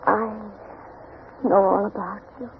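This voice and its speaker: A woman's voice: mid-range voice, flat delivery, slow pace, creaky. An actress playing a shy, tender, mysterious young woman in 1940s radio drama style, wistful and hesitant. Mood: sad, tender. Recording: noisy, tinny 1940s radio recording.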